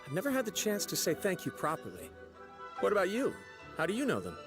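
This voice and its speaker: A man's voice: high voice, wildly swooping pitch, fast talker, full character voice. An actor playing a polite, earnest young male servant in a video game. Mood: polite, chatty. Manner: earnest, courteous. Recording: game audio with background music.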